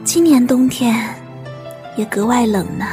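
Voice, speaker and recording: A woman's voice: a little high, unhurried, music in the background, close to an everyday voice. A woman acting as an earnest, wistful young apprentice chef who also narrates an audio drama. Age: young adult.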